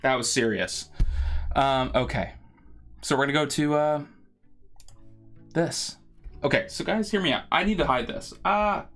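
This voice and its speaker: A man's voice: on the high side, unhurried, pauses often, a bit bright. A man hosting a live drawing stream, chatting casually and informally as he rambles and thinks aloud. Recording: music playing behind the voice. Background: background music.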